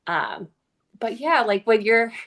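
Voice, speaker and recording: A woman's voice: on the high side, expressive, slow pace, fairly bright tone, slight background noise. A woman in her forties chatting casually as a guest, using "like" a lot. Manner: chatty, heavy use of "like". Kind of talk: casual chat.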